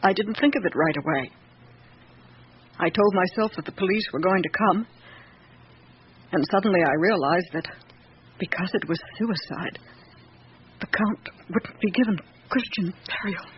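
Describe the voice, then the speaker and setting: An actress playing an old, devout female servant in a radio drama, confessing in halting, plain-spoken phrases. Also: lowish voice, close to an everyday voice. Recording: muffled old radio recording, faint noise.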